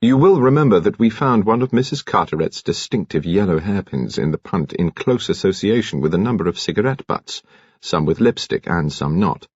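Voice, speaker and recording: Deep-voiced British man narrating, muffled. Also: animated, moderate pace, a little mellow, breathy edge, dry recording. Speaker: audiobook narrator. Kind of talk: scripted dramatic narration of a detective novel.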